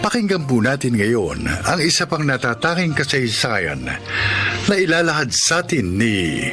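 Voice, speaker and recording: A man with a Filipino accent reading scripted radio drama announcements in a solemn, deliberate way. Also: medium pitch, very animated. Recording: loud music under the voice.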